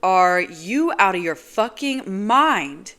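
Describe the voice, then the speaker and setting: A woman voicing a hot-tempered young man who shouts in exasperation. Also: medium pitch, lively intonation, a little fast, voice pushed up, lightly acted. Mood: furious.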